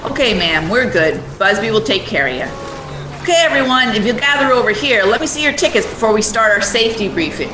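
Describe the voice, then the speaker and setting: A woman playing a brisk, blunt, no-nonsense tour boat hostess in a radio play. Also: fairly high pitch, animated, reverberant, music in the background, lightly acted, rough recording. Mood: brisk, businesslike.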